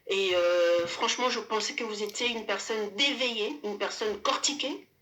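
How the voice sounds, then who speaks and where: A woman recording a video statement, pausing often with fillers as she answers a critic. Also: medium pitch, a bit bright. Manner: hesitant, many fillers, pointed rebuttal.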